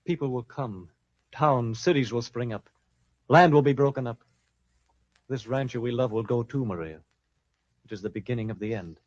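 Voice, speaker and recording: An actor playing an earnest, troubled and proud American rancher in an old-time radio western. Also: male voice, mid-range voice, normal speed, pauses often, a little mellow, natural voice. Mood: grave, wistful. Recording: muffled vintage radio drama recording.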